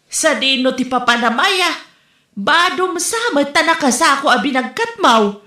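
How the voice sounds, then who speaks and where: A woman's voice: sits high, lively intonation, a little slow, pushed and bright, full character voice. A woman playing a scolding, exasperated sister with an Ilocano accent in a comedy drama. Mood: nagging. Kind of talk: Ilocano comedy radio-style drama acting. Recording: roomy echo.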